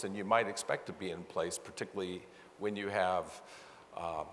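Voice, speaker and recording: Male conference presenter, low voice, conversational and self-correcting, heard with long hall reverb. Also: fairly bright tone, a little hiss or hum. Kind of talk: explanatory presentation to an audience. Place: large meeting hall with sound system.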